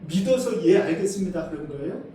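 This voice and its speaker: A middle-aged Korean man, a senior pastor, preaching a sermon, asking the congregation questions and swinging between loud emphasis and quiet asides. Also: high-pitched, expressive, fast, crowd noise. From South Korea. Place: church sanctuary. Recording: echoey hall from far off, faint noise.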